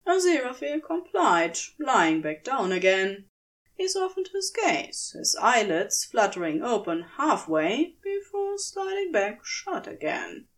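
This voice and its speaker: A woman reading scripted fan fiction as narrator, in a measured, expressive storytelling manner. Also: on the high side.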